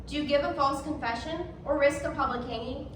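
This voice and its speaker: A woman's voice: sits high, a little fast. A young woman delivering scripted narration as a readers theatre performer on stage. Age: young adult. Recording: echoey hall from far off, noisy.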